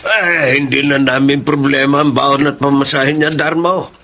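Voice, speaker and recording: A man playing a kindly, hopeful elderly Filipino grandfather in a radio drama, with a gentle, earnest, folksy delivery. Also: medium pitch, fairly even intonation, moderate pace, slight background noise. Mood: earnest, protective. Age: older.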